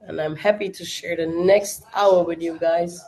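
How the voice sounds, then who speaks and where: A woman's voice: on the low side, fairly even intonation, a little slow, mellow. A young woman with a German accent, hosting a casual livestream watch party, chatting with many fillers and answering viewers' questions.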